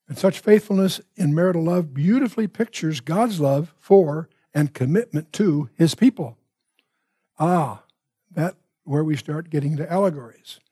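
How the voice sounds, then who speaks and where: Husky, mellow male Bible teacher. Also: a little high, dry recording, clean studio sound. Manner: emphatic, deliberate phrasing with asides.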